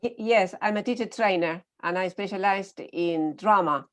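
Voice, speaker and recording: A woman's voice: medium pitch, moderate pace. A woman from Argentina, a teacher trainer, talking in a friendly, hesitant way with many fillers on a video call. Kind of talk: online round-table discussion.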